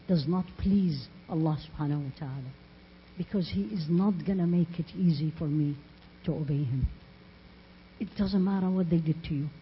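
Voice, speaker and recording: Female Islamic teacher, emphatic and sincere storyteller; muffled, faintly noisy recording. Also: sits low. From Iraq. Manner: earnest, emphatic, repeats phrases and asks rhetorical questions. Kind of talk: religious talk with storytelling. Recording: muffled lo-fi audio with faint noise.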